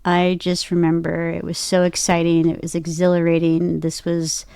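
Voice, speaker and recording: Woman chatting casually, lowish voice, dry sound. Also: completely flat delivery, normal speed, creaky, warm tone, smooth, no room sound. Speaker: voice actor and podcaster, interview guest. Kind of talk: casual interview chat, personal anecdotes.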